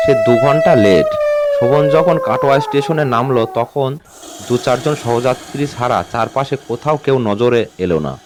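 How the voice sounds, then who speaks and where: A man narrating a scripted ghost story in a measured, suspenseful way. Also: medium pitch. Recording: music bed under the voice. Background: background music.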